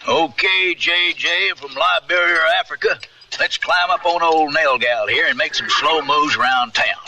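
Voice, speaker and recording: An actor playing a brash, good-natured old-west cowpoke in a radio drama, drawling colorful slang with an American Western accent. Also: male voice, a little high, measured pace, distant mic, heavy background noise, close to an everyday voice. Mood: friendly, teasing. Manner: colloquial, drawling cowboy slang.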